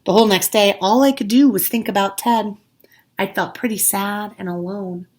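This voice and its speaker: A woman reading a children's story aloud expressively, with dramatic pauses and hushed asides. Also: medium pitch. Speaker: storybook reader, teacher.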